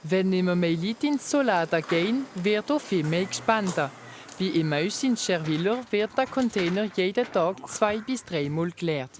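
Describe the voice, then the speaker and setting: A woman's voice: lowish voice, animated, normal speed, a little hiss or hum. A woman narrating a regional television news report and interviewing a guest.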